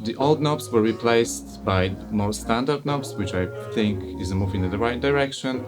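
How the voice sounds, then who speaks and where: Polish guy walking through a mixing plugin, mid pitch, easygoing, uhs and you-knows, music under him. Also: moderate pace. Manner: relaxed, explanatory, with frequent "uh" and "you know". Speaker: audio plugin reviewer. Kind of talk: casual software review and demo.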